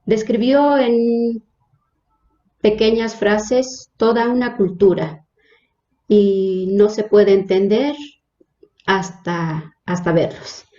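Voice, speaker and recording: Nahuatl-native woman from Mexico, mellow and unhurried, hesitant with fillers; echoey, dull audio. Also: medium pitch, fairly even intonation. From Mexico, Hidalgo state. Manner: hesitant, frequent fillers and restarts. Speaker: religious sister, guest. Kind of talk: interview, personal introduction.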